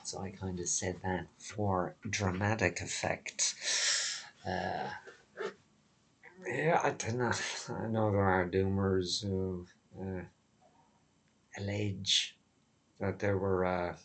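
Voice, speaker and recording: A man speaks in a very low, husky voice that is hoarse and prone to breaking. His delivery is extremely slow, with halting gaps between phrases. He sounds weary and sardonically gloomy as he rambles alone in a personal vlog. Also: steady tone. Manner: halting, with long pauses. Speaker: vlogger. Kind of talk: rambling personal monologue to camera.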